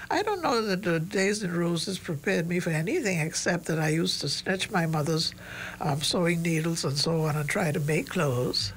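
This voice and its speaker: A woman in her nineties talks in a low, gentle voice into a studio desk mic. She reminisces warmly and pauses now and then to recall a name. A faint hum runs under the recording. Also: rough recording. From Bahamas. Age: 90s. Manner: gentle, warm, searching for names with pauses. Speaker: interview guest, retired education leader. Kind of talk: reflective interview conversation. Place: small studio, indoors. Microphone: desk microphone on boom.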